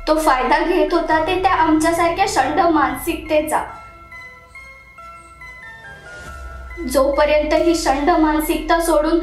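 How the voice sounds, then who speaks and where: Woman acting a hurt, angry young commuter. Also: very high-pitched. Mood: resolute. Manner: bitter, accusing, rising to anger. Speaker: actor in a one-act monologue. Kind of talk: dramatic stage monologue. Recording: very echoey room, music underneath.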